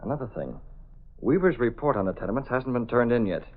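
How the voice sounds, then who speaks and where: An actor playing a resolute masked vigilante in vintage radio drama, with a clipped, authoritative, measured delivery. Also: male voice, medium pitch, animated, narrow, tinny audio, close to an everyday voice. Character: resolute masked male vigilante, cool commanding gentleman. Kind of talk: 1930s-40s radio drama acting. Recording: thin band-limited vintage radio recording.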